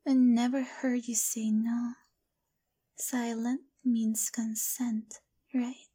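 A woman's voice: a little high, little pitch movement, slow pace, mellow. A woman playing a domineering, possessive lover in an intimate audio roleplay, whispery and commanding. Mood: menacing. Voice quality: breathy, whispery. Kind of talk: adult ASMR audio roleplay.

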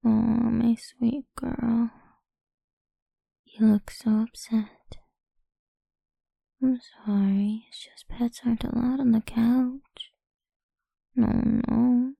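A woman speaking in a soft, slow, coaxing whisper for a close-mic ASMR roleplay. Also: fairly high pitch, steady tone, gravelly, dry recording.

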